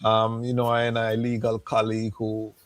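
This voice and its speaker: A man's voice: on the low side, monotone, moderate pace, dry recording. Casual male live-show host. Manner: informal, conversational, with frequent fillers.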